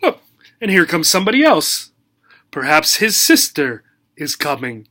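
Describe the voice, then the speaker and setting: A man reads to young children as their teacher, taking his time over simple, short phrases. He prompts his listeners with questions in an animated, instructive way, at an unhurried pace. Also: fairly high pitch. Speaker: teacher reading a picture book to young learners. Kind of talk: children's read-aloud lesson, asking questions.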